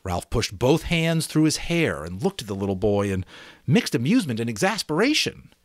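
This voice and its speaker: A man's voice: medium pitch, very animated, normal speed, no echo, studio quality. A man narrating a novel expressively as an amateur reader, with dramatic storytelling and occasional casual asides.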